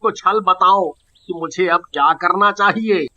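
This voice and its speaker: Man acting a high, pleading village merchant. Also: a little slow, pushed and bright, full character voice. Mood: earnest. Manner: pleading, dramatic. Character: frightened, pleading greedy village merchant man, now repentant. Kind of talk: animated Hindi moral story acting. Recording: dull lo-fi audio.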